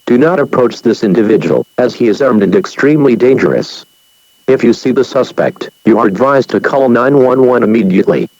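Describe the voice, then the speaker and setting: A man's voice: medium pitch, lively intonation, brisk, a little mellow, no room sound. Formal alert announcer read by a man, dull lo-fi broadcast sound, dry. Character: male emergency alert announcer.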